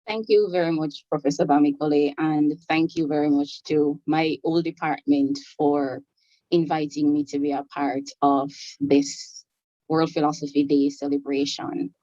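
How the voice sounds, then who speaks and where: A Jamaican woman speaks as a panelist in an academic panel, with a low, mellow voice. She talks in a measured way, pausing on fillers and restarting her phrases. The sound is dry and a little dull, like a video call. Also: no echo.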